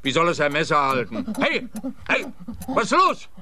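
A man playing a blustering, slow-witted policeman in a radio play, pompous and prone to indignant rambling. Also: a little high, huge pitch swings, a crowd in the background.

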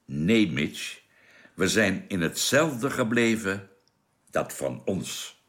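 A man's voice: deep voice, very animated, slow, a bit bright, heavily acted. An actor playing a knowing space crewman in a radio drama, explaining things patiently. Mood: calm, certain.

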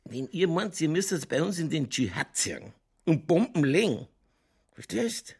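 A man playing a boozy, world-weary middle-aged police chief in a radio play, with a Lower Bavarian accent and a rambling, tipsy, cynically jovial delivery. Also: gravelly, slightly rough. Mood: conspiratorial, indignant. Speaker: actor in a crime radio play. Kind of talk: naturalistic German radio drama dialogue.

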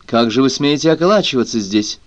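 A man's voice: medium pitch, lively intonation, brisk, no echo, highs cut off, natural voice. Man acting a haughty young nobleman, barking orders. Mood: imperious, angry. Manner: imperious, sharply barked commands.

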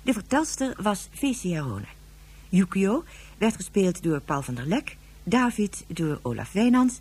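A woman announcer reads scripted credits in a very husky, mellow voice. Her intonation moves in a lively way. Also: on the low side.

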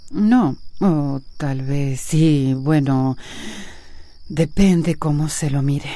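Low, slow, troubled woman. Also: warm tone, no echo. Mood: hesitant confession. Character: troubled, caring woman friend, hesitant and confiding. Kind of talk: radio soap opera acting.

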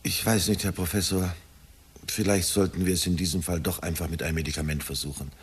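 A middle-aged family man with a deep, very husky voice, brooding and anxious. He speaks hesitantly and deferentially, as if confessing, in a radio drama style. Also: little pitch movement, fast. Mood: anxious, confiding. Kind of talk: German radio drama acting.

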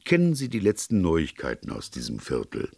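Man reading as a grave police colonel, deep-voiced. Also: huge pitch swings, creaky, darkish tone, husky, dry recording, studio quality, full character voice. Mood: explaining. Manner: earnest, deliberate, formal. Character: grave, plain-spoken police colonel explaining a case. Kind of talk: audiobook character dialogue.

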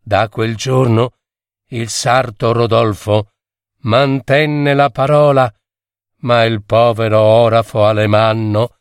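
A man narrating a fairy tale from a script with expressive, theatrical delivery. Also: medium pitch, no room sound, clean studio sound. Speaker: storyteller.

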